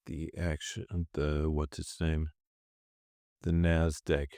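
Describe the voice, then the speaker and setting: A man's voice: rumbling low, gravelly, a little mellow, no echo, clean studio sound. A man hosting a stock trading channel, rambling through his trades and correcting himself as he goes, a bit congested from a cold. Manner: rambling, self-correcting, thinks aloud. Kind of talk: casual screen-share commentary on trades.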